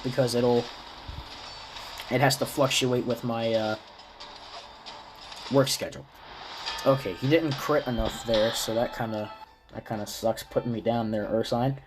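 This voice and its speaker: A man with a slightly raspy, stuffy voice from a cold, casually explaining a game character's build step by step. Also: medium pitch, poor audio. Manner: explains step by step, relaxed and chatty. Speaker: gaming video creator. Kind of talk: casual game guide commentary.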